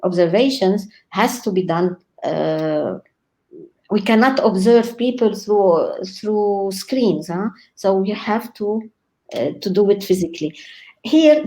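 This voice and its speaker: A woman born in Lebanon, speaking as a guest scholar in an online interview, enthusiastic, often pausing with "uh" and laughing. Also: mid-range voice, low-quality recording. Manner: warm, enthusiastic, frequent laughs and hesitations.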